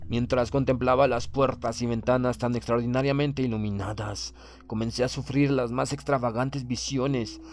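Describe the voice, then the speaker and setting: Hombre que interpreta a un oficial prusiano solemne y condenado. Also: male voice, mid-range voice, a little mellow, close to an everyday voice. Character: proud, doomed Prussian naval officer writing his last account. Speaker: audiobook reader. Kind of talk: dramatic horror audiobook narration.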